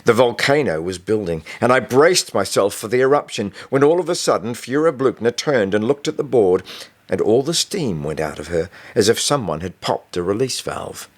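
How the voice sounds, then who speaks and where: A man's voice: fairly low pitch, expressive, moderate pace. A man reading a first-person story as a scripted audiobook narration. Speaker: author reading own novel.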